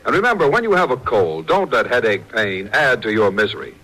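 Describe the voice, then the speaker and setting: A male announcer selling on old-time radio. His voice is bright and forceful, with lively rises and falls and an emphatic, polished delivery. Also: medium pitch, a little fast, husky, low-quality recording. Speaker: commercial announcer.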